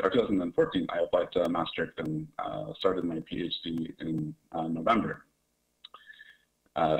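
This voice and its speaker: Deep male presenter from Canada on a webcam call, talking through slides with many fillers. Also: rough recording. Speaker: academic presenter. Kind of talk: research presentation over video call. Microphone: webcam on video call.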